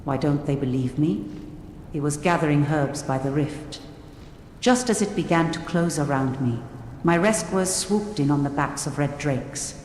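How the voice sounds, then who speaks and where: Woman as a low-voiced earnest fantasy survivor. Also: expressive, unhurried, darkish tone. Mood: earnest, pleading. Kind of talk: video game quest dialogue. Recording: echoey reverb with faint noise.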